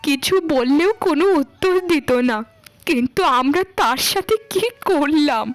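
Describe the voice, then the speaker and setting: A woman's voice: high-pitched, lively intonation, a little slow. A woman voicing a remorseful older mother reduced to begging, lamenting and pleading in a cartoon melodrama. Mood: remorseful, anguished. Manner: lamenting, pleading, repeats phrases. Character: remorseful ruined older mother, now a beggar.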